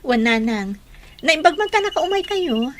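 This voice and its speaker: A woman playing a bashful, gently protesting young wife and mother in an Ilocano-accented radio drama. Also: sits high, very animated, a little slow, slight background noise, exaggerated voice. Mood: cheerful. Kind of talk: Filipino radio drama acting.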